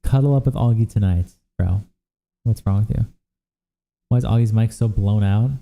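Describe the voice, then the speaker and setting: Casting: young male streamer, tired, profane and chatty, low pitch, very dark mellow tone. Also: vocal fry. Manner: casual, profane, reacts to chat with quick asides. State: worn out from days of streaming. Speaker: livestream host. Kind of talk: casual livestream chat reading viewer comments.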